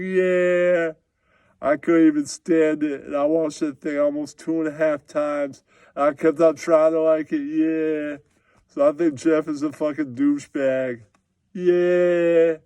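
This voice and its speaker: Man as a grumpy puppet reviewer, raised pitch, blunt, crude, repetitive, dry close sound. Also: mellow, smooth, dry recording. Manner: blunt, repetitive, punctuated with yeahs. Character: grouchy, crude, scornful male puppet movie critic.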